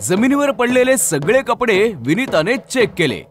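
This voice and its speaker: High-pitched, animated male horror narrator. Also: unhurried. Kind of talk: dramatic scripted horror storytelling. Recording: clear music under narration.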